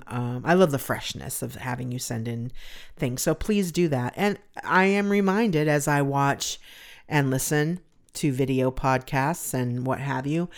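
A middle-aged woman with a deep, resonant voice hosting her own podcast, rambling in a chatty, self-deprecating way. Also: a little mellow. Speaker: podcast host. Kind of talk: casual solo podcast talk.

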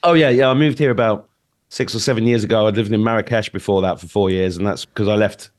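A man's voice: fairly low pitch, quick pace. A middle-aged man from the UK chatting informally as an interview guest, blunt and joking in manner. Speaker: talk show guest and podcast interviewer. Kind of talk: casual conversational interview chat.

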